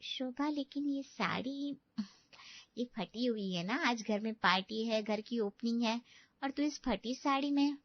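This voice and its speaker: A woman's voice: fairly high pitch, measured pace, dry recording, muffled recording. A woman playing an outspoken older family friend in a story, frank and moralizing. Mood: reproachful.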